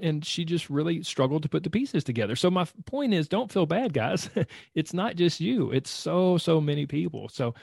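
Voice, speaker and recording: A man's voice: fairly high pitch, vocal fry, darkish tone, no room sound. A man hosting an interview, enthusiastic and encouraging, rambling a bit with restarts. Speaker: host. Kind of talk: interview, coaching podcast.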